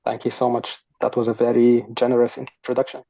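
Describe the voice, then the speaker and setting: Gravelly, soft-spoken young man on a video call. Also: mid-range voice, completely flat delivery, fairly quick, no room sound. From Sudan. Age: young adult. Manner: soft-spoken, thoughtful, hesitant with many fillers. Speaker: guest. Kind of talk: podcast interview answers. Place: home room, sitting on a sofa. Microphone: headset on a video call. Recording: dull lo-fi video call audio.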